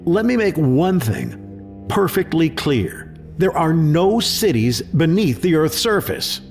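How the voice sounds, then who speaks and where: A sceptical, sarcastic male official in a sci-fi audio thriller. His voice sits fairly high and swings widely with incredulous emphasis, over a music bed. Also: very animated, slow, vocal fry, a little mellow, full character voice. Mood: dismissive. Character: exasperated, sceptical male government official, quick to scoff. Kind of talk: scripted sci-fi audio drama.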